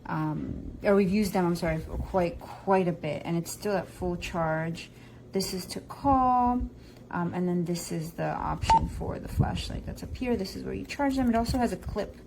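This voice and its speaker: A woman's voice: fairly low pitch, moderate pace, gravelly, background noise. A woman casually demonstrating a product as a reviewer, talking through its features.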